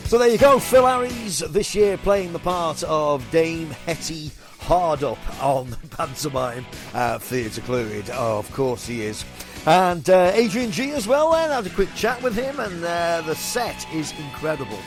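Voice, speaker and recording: A man's voice: on the high side, very animated, a little slow. A man presenting and interviewing on local radio in a chatty, enthusiastic, effusive way. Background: music.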